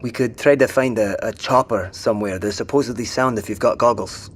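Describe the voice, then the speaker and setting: A man voicing a level-headed survivor in an audio drama, practical and steady, with a dry, plain delivery at an ordinary middle pitch. He talks his companion round with calm sense. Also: brisk, a little hiss or hum, close to an everyday voice. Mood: wary.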